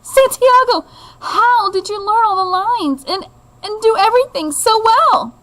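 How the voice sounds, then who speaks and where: A woman's voice: extremely high pitch, a little slow, a bit bright, pitched above the performer's own voice, poor audio. A woman voicing a loving, encouraging father in a children's storybook, with emphatic delivery. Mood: amazed, proud.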